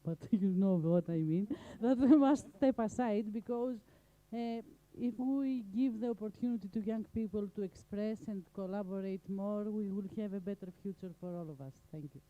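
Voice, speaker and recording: A woman's voice: medium pitch, moderate pace, hardly any pauses, warm tone. A woman from Greece, a forestry researcher, speaking earnestly at a forum. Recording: phone-like thin audio.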